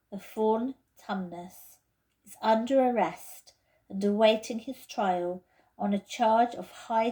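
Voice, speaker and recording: A woman reading a children's story aloud as an expressive narrator. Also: mid-range voice, rough recording. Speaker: teacher reading aloud to pupils.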